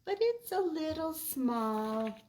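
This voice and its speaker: A woman's voice: high-pitched, very animated, takes it slowly, darkish tone, a little hiss or hum, pitched above the performer's own voice, exaggerated voice. A woman voicing an eager, helpful animal friend in a children's picture book, speaking in simple, enthusiastic lines. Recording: roomy echo, distant mic.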